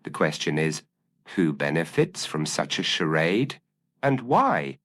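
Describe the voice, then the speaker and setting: A man voicing a brilliant, commanding male detective with calm, incisive, formal deductions in an audio mystery story. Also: very deep voice, measured pace, heavily acted. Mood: grave, decisive. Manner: crisp, formal, declarative deductions.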